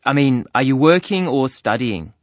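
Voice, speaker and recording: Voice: mid-range voice, lively intonation, normal speed, dry recording, close to an everyday voice. A voice actor playing a friendly club receptionist who fills in a new member's form, chatting easily with small laughs and ums. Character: friendly office clerk taking a new member's details. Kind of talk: scripted listening-test dialogue. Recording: dry, slightly dull studio recording.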